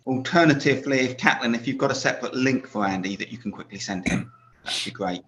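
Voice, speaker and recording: A man hosting an online meeting, brisk and practical. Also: mid-range voice, a bit bright. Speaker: host. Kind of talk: casual meeting chat. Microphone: video call. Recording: muffled call audio with faint noise.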